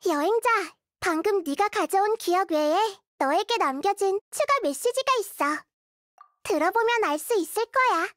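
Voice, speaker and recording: A woman voicing a small, wise, gentle girl deity in a video game, speaking in a calm, thoughtful, explanatory way. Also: very high-pitched, normal speed, no echo. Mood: calm, explaining.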